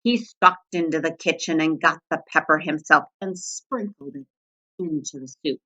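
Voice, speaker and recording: A woman narrating a children's story aloud in an expressive read-aloud style, on a slightly muffled recording. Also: low voice, moderate pace. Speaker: storybook reader.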